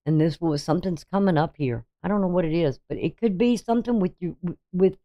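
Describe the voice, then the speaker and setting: A sixty-something astrologer talks through the day's chart in a loose, meandering way, trailing off and restarting often. The voice sits at a middling pitch. The recording sounds dull and lo-fi. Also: fast talker. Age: 60s. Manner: rambling, hesitant, with frequent ums and restarts. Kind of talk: casual daily astrology reading over a chart.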